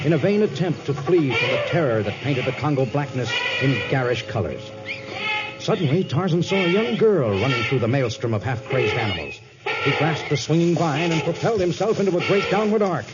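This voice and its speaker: Dramatic male narrator over loud vintage music. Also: medium pitch. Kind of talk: dramatic scripted 1950s radio narration. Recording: dull vintage radio, loud music behind.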